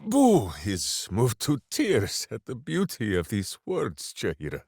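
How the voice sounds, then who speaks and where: An actor voicing a big, simple, good-hearted berserker hero in a fantasy video game, bombastic and earnest. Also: male voice, mid-range voice, huge pitch swings, takes it slowly, gravelly, darkish tone, dry recording, studio quality, heavily acted. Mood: solemn, wry. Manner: bombastic, earnest, talks of himself in third person.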